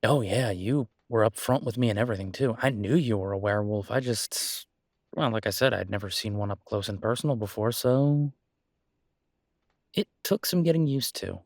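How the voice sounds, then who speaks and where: A man's voice: lowish voice, moderate pace, dry recording, studio quality. A man playing a devoted, reassuring human boyfriend in an intimate roleplay audio, speaking casually and hesitantly. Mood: candid, teasing. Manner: hesitant, casual, soothing, with soft chuckles. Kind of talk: roleplay audio acting, intimate one-sided conversation.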